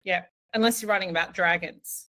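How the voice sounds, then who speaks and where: Bright-voiced woman hosting. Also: lowish voice, fairly quick, no room sound. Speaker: podcast host, journalist. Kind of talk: casual interview chat.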